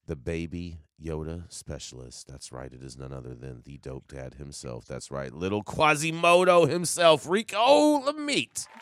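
A male co-host with a low voice that swings widely in pitch, playing hype man for his partner. The recording is dry and close, with no room sound. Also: very animated, a little slow, hardly any pauses, fairly bright tone, no room sound. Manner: playful, hype-man delivery with big swings. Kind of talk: hyped show intro.

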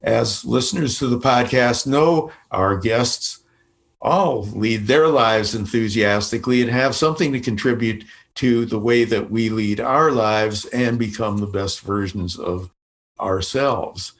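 A man's voice: fairly low pitch, unhurried. Man hosting a podcast. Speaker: podcast host. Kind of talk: reading a guest introduction, then interviewing.

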